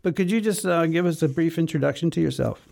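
A man's voice: on the high side, fast talker, vocal fry, a little mellow. A man hosting a casual political discussion over a video call, wry and given to ranting. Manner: wry, self-aware ranting. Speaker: radio show host.

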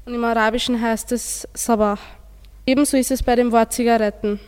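A woman's voice: on the high side, steady tone, fairly quick, a little mellow, clear, resonant tone. A teenage girl, a school student, giving a prepared presentation in a reverberant recording. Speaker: school student in a radio project. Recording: long hall-like reverb.

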